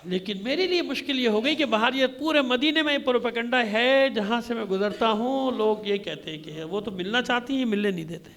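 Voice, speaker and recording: High-pitched, impassioned man preaching a sermon. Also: fairly quick, few pauses, a bit bright. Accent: Pakistani Urdu. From Pakistan. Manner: impassioned, dramatic rhetorical delivery with repeated phrases. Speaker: Shia cleric reciting a majlis. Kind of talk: mourning sermon with storytelling. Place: congregation hall.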